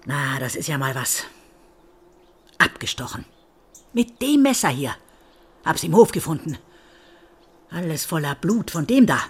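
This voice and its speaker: An actress playing a spiteful, gossipy old mother in a village audio drama, with a raspy, indignant, sharp-tongued delivery. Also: low voice, big pitch swings, measured pace, long pauses, exaggerated voice. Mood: gloating.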